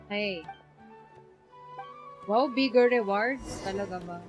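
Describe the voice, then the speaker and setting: A woman's voice: medium pitch, slow, over music. A woman with a Filipino accent chatting casually as she livestreams a game, easygoing and thinking aloud, switching between languages. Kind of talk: casual livestream chat while playing a game.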